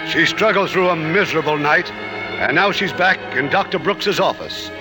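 Casting: male vintage radio host, fairly high voice, lively dramatic narration over clear music. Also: normal speed. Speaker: producer and host narrating the play. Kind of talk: scripted 1950s radio narration.

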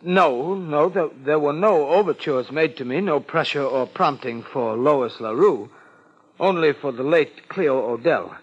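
Jittery judge in vintage radio drama, raised pitch, slow halting delivery, evasive and formal. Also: male voice, breathy edge, muffled recording. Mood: nervous, evasive. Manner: stammering, hesitant, formal wording. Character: nervous, evasive male contest judge under questioning. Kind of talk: 1950s American radio drama acting. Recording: vintage 1950s radio recording.

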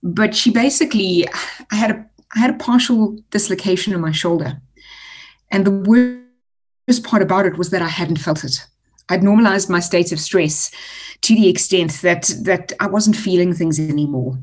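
A woman in her forties, a guest author answering interview questions in an articulate, measured way with frequent ums. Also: fairly low pitch, a little mellow. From South Africa.

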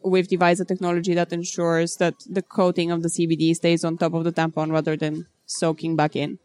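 A woman from Bulgaria in her thirties, a startup founder answering interview questions in a measured, businesslike way. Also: on the low side, completely flat delivery, a little mellow, clear, resonant tone.

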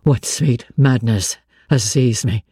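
A woman voicing a blind, brooding gentleman in a classic novel, commanding and impassioned. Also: very deep voice, slow pace, slightly rough, no echo, clean studio sound, voice pushed down, exaggerated voice. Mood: anguished. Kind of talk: dramatic audiobook reading of classic literature.

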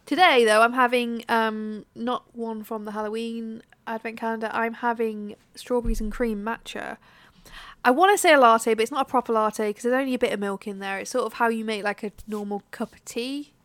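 A woman co-hosting a podcast in casual chat, a bit bunged up from a cold, chatty and quick to laugh. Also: a little high, fairly even intonation, a bit bright, dry recording. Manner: chatty, laughs often, drawn-out fillers. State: congested from a cold. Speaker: podcast co-host.

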